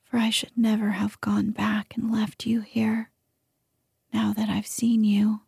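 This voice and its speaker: A woman voicing a gentle, sorrowful female moonbeam spirit in a soft, pleading whisper. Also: mid-range voice, completely flat delivery, a little slow, gravelly, husky, no room sound, studio quality, exaggerated voice. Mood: tender. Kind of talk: whispered ASMR storytelling.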